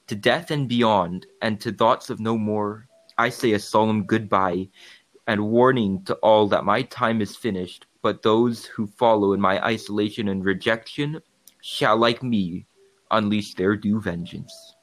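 A man's voice: fairly low pitch, a little slow, fairly bright tone, poor audio. A man playing an anguished, vengeful outcast monster, delivering a formal dramatic monologue. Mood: solemn, vengeful. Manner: formal, solemn, declamatory. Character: Frankenstein's creature, bitter, anguished, solemn, vengeful outcast monster. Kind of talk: dramatic monologue in archaic, literary language.

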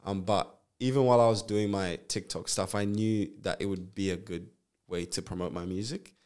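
Deep-voiced young man from Zambia. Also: moderate pace, smooth. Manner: relaxed, rambling, many fillers and restarts. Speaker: guest, nurse and musician. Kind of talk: casual interview chat.

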